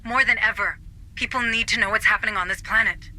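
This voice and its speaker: A man's voice: sits high, fairly even intonation, quick pace, bright, forceful tone. A man playing a serious, insistent sci-fi marine squad member in a video game. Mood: urgent. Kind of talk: video game cutscene acting.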